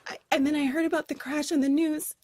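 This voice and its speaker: An actress playing a guilt-ridden teenage girl who speaks haltingly and pleads with her friend. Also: high-pitched, little pitch movement, a little mellow, no echo, studio quality, lightly acted. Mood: anxious, guilty.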